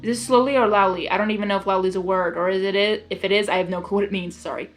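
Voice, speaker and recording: A woman reading fan fiction aloud as host, excitable and hyper, breaking off for informal reactions. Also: medium pitch, racing. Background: music.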